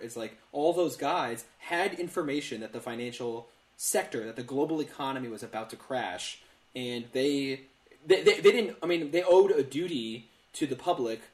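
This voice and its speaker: A man recorded in a roomy, echoey space talks as a podcast co-host. His pitch is middling, and he explains casually, stammering over repeats and then landing hard on his points.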